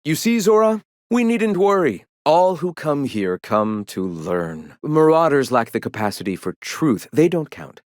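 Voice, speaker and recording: An actor playing a zealous philosopher who leads an anti-corporate rebel sect in a video game, speaking in lofty, measured, formal oratory. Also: male voice, a little high, sing-song, a little mellow, no echo, clean studio sound. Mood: firm, persuasive. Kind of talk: video game character dialogue.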